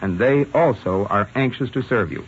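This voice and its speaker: A man announcing a scripted 1940s radio commercial in a husky voice. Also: lowish voice, animated, normal speed. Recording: muffled vintage radio recording.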